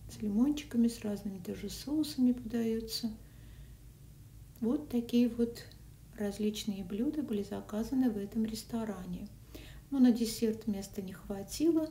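A woman narrates a casual food and travel vlog, her mellow voice now and then dropping to a whisper. She is caught on a phone a little way off, with a roomy echo and faint background noise. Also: fairly high pitch, moderate pace. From Russian-speaking country. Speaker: vlogger. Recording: echoey, from a distance, faint noise.

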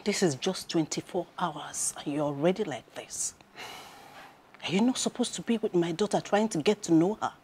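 A woman's voice: lowish voice, moderate pace, darkish tone, a little hiss or hum, natural voice. An actress playing a stern, imperious mother-in-law with a Nigerian accent, scolding and commanding in a film drama. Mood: suspicious, reproachful.

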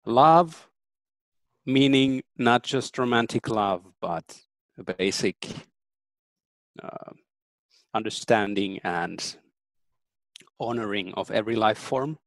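A man in his thirties, a native German speaker, giving earnest, thoughtful interview answers with frequent pauses and ums. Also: on the low side, a bit bright, no echo, poor audio. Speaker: interview guest.

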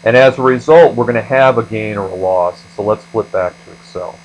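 A male instructor with a fairly low voice walks through material in an explanatory screencast style. He goes step by step, as in a lecture. A faint electrical hum sits behind his voice. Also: a little fast, rough recording. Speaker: accounting instructor.

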